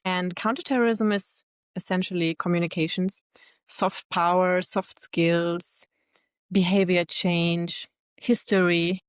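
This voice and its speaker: A woman in her thirties, talking in a fairly low voice about her own path. She sounds reflective and laughs easily. The sound is dry and close to the mic. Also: dry recording. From Germany. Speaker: guest, LinkedIn expert. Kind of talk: casual interview chat.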